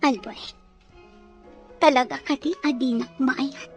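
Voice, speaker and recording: A woman acting an imperious, bitter, jealous wife in a melodrama, with a Filipino accent and a sharp, demanding manner. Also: high-pitched, fairly even intonation, slow pace, lo-fi sound, soft background music. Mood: bitter, pleading.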